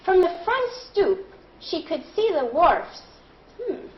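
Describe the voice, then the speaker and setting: A woman leading a read-aloud lesson as a primary teacher, deliberate and instructive, thinking out loud. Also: very high voice, very animated. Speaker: primary school teacher reading aloud to a class. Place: classroom. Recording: muffled classroom recording with clear background noise.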